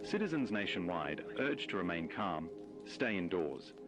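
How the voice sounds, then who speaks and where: A man's voice: deep voice, very animated, measured pace. A man playing a grave news anchor, reading a scripted bulletin about a catastrophe in an analog horror video. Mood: grave, urgent. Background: music.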